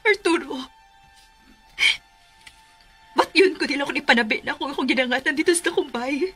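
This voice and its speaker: A woman's voice: high-pitched, racing, fairly bright tone. An actress playing a frightened young woman who pleads tearfully in a radio melodrama. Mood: anguished. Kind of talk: melodramatic radio drama acting. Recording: music behind.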